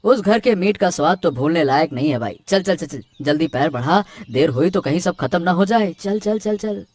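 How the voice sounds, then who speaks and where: A man's voice: fairly high pitch, expressive, normal speed, darkish tone, dry recording, clean studio sound, lightly acted. A man voicing a jolly, gluttonous village man in a cartoon, eagerly repeating his words. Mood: eager, hungry. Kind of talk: Hindi cartoon story voice acting.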